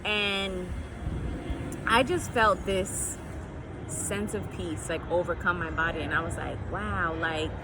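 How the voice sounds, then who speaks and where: A woman's voice: on the low side, slow. A young woman from Connecticut vlogging casually on an apartment rooftop, upbeat and chatty with frequent ums. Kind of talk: casual vlog talk to camera. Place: outdoors on an apartment building roof. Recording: outdoor street noise, loud. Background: loud urban noise, traffic and animals.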